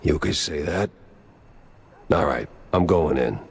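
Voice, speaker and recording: A man's voice: a bass voice, expressive, takes it slowly, gravelly, a little mellow, slightly rough, heavily acted. A man playing a world-weary, wisecracking mouse private detective in a noir cartoon game, with a dry, sardonic delivery. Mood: wry.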